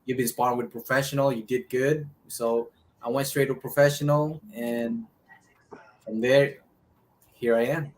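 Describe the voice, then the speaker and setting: A man with a Filipino accent giving casual interview answers as a boxer, speaking haltingly with pauses mid-sentence. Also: medium pitch, fairly even intonation. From Philippines. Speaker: professional boxer, interview guest. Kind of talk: casual interview answers, recounting his life.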